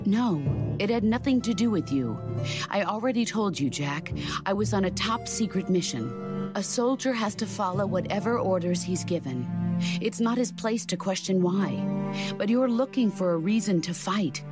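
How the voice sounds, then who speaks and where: An actress playing a stern, calm military mentor in a video game, speaking in a measured, instructive way. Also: female voice, medium pitch, over loud music, natural voice. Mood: stern, solemn. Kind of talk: dramatic game cutscene acting.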